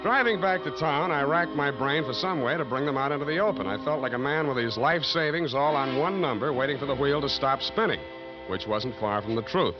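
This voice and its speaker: An American actor playing a wry, cynical private detective who narrates his case in deadpan 1940s radio style. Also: mid-range voice, brisk, lightly acted. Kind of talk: 1940s radio detective acting, scripted first-person narration. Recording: dull old 1940s radio recording, loud music.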